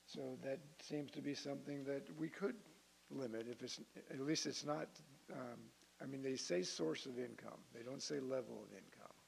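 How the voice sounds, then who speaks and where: A man speaking informally as a council member at a meeting, with a hesitant, halting delivery. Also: mid-range voice, creaky, poor audio. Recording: hissy meeting-room audio.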